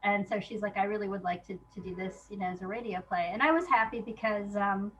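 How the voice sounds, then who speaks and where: A woman speaking as an interview guest, a playwright chatting casually about her work and telling it in an animated way, acting out remembered exchanges. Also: mid-range voice, fast talker, lo-fi sound, a little hiss or hum.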